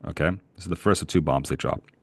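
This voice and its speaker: A middle-aged man from Canada, co-hosting a podcast and telling animated, rambling travel stories with frequent chuckles. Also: rumbling low, fast, creaky, husky, dry recording. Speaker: podcast co-host. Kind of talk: casual chat, travel storytelling.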